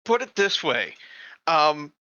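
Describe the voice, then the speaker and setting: A man with a very bright, forceful voice at a middling pitch talks casually as a tabletop game player. The recording is dry and a touch dull. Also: very animated, takes it slowly, no room sound.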